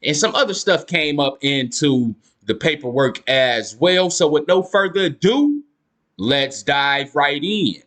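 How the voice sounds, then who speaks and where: A man's voice: fairly high pitch, animated, measured pace, fairly bright tone. A young man giving casual commentary, reading court filings aloud to viewers and calling them y'all. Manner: slangy, addresses viewers as y'all, reads documents aloud with stumbles. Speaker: YouTube case-file commentator.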